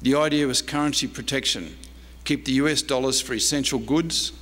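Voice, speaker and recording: Australian man, historian at the podium, prepared lecture delivery, mid-pitched voice, long hall reverb. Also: a little fast, a bit bright. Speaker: historian and archivist giving a talk. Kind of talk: prepared lecture, largely read aloud. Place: large indoor convention room.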